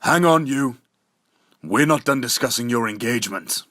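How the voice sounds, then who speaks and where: A man's voice: mid-range voice, animated, moderate pace, a bit bright, close to an everyday voice. A man playing a stern, authoritative slayer leader and father in an amateur audio drama.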